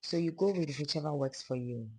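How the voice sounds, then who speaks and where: A sewing instructor with a hoarse, fading voice, calmly narrating a pattern-drafting tutorial step by step. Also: mid-range voice, brisk. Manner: calm, step-by-step explaining of measurements. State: hoarse, losing voice.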